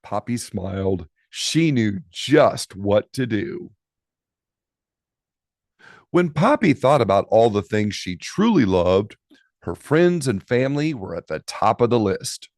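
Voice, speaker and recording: A man narrates a picture book for children in an expressive, lively style. He takes it slowly with dramatic pauses, and his voice has a gravelly creak. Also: fairly low pitch, dry recording, clean studio sound. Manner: dramatic pauses, drawn-out key words. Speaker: storybook reader.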